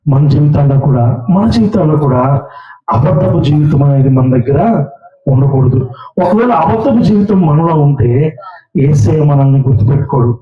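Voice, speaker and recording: A man's voice: on the high side, expressive, fairly quick. A man teaching children at a Sunday school puppet show, coaxing and questioning a child in a large hall. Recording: roomy, distant, dull sound.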